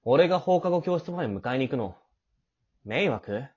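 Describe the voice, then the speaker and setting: A woman playing a clingy, teasing, possessive teenage boy in love, with a husky, breathy, languid voice, in an amateur romantic audio drama. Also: rumbling low, lively intonation, moderate pace, darkish tone, full character voice. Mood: sulky, probing. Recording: dull, lo-fi home recording.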